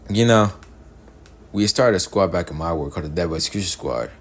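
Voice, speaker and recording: A man voicing an earnest, guarded young male devil hunter from another universe, delivering animated-story dialogue. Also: sits low, a little fast. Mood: earnest, reflective. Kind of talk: animated-series character dialogue, recorded in repeated takes.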